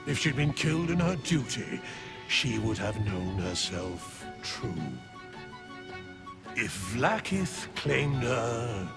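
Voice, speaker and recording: An actor playing an ancient, solemn undead sage in a video game, making grave, archaic, measured pronouncements. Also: male voice, mid-range voice, very animated, gravelly, over music, exaggerated voice. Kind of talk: video game character acting.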